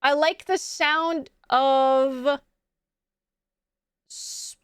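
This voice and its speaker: A woman's voice: high-pitched, fairly even intonation, extremely slow, a bit bright, no echo, clean studio sound. A young adult woman streaming from home, chatting casually with her chat. She rambles, restarts her sentences often and laughs a lot.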